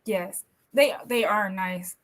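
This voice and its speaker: A woman from the American South hosting a podcast interview, friendly and affirming, playful as she runs a quick game. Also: medium pitch. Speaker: podcast host. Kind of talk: casual interview chat.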